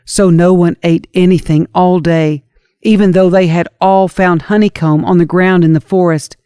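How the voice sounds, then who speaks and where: A woman's voice: fairly low pitch, steady tone, normal speed, warm tone, clean tone, dry recording, studio quality. A woman reading scripture as a scripted audiobook narrator, in a storytelling manner. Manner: storytelling, dramatizes quoted speech.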